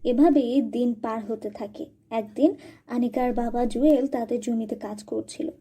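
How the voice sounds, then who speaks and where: A woman's voice: a little high, steady tone, a little slow, warm tone, husky. A woman narrating a cartoon story from a script as a storyteller.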